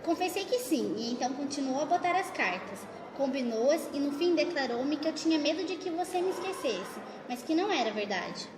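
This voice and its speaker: Amateur radio play role: actress as anxious, pleading secret lover, high female voice, noisy recording. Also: animated, fairly quick, hardly any pauses. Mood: confiding. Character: anxious, pleading married woman in a secret affair. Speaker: student actor in a school radio play. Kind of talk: amateur radio drama acting. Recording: noisy, echoey hall recording.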